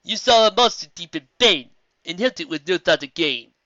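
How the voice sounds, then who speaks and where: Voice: medium pitch, expressive, moderate pace, bright, forceful tone, no echo, highs cut off, voice pushed up. A reader doing a solemn rhyming female zebra. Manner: rhyming, formal and grave. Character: wise female zebra healer who speaks in rhyme.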